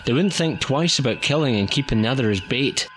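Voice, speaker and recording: An actor playing an earnest, worried rabbit lieutenant in an audio drama, warning with urgency. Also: male voice, medium pitch, lively intonation, fairly quick, fairly bright tone, a little hiss or hum, close to an everyday voice.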